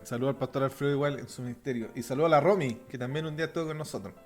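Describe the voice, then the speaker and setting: A man with a softened Chilean accent hosting a religious podcast, chatting informally and offering blessings to listeners. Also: medium pitch, very fast, faint music behind. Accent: softened Chilean Spanish. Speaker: podcast host. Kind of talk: casual religious podcast chat.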